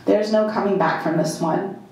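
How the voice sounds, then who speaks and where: A woman performing a first-person dramatic monologue at a live reading, in short, deliberate, halting phrases with emphatic repetition. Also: medium pitch, darkish tone. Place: indoor live performance in a club. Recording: very echoey live venue.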